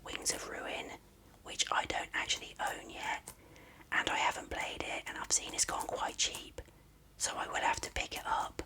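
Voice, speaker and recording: Whispered ASMR unboxing host, soft and unhurried. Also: low-quality recording. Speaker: video host showing an unboxing.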